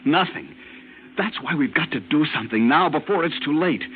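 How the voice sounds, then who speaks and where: A man's voice: fairly high pitch, lively intonation, moderate pace, lightly acted. A man playing a frightened lieutenant, telling his strange story intensely in an old-time radio drama. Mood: urgent pleading. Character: frightened military lieutenant recounting a strange captivity, urgent and haunted. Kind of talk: old-time radio drama acting, first-person narration. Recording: noisy, narrow-band old radio recording.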